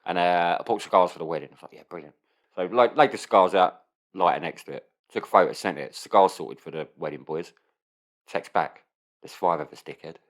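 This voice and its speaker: A man's voice: deep voice, brisk. A man, co-hosting a podcast in casual, rambling banter full of swearing and rhetorical questions. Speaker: podcast co-host.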